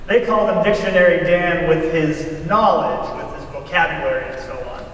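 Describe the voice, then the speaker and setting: A man's voice: fairly high pitch, normal speed, crowd noise. A man performing in a readers theater, telling tales in a folksy stage storytelling style. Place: large hall, live stage reading. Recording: echoey hall from far off, faint noise.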